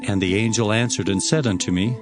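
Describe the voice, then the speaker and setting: A man's voice: on the low side, close to an everyday voice. Man playing a formal, reverent prophet over music. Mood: measured. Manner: measured, formal, declamatory. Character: reverent male Hebrew prophet recounting visions. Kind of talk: dramatized scripture narration.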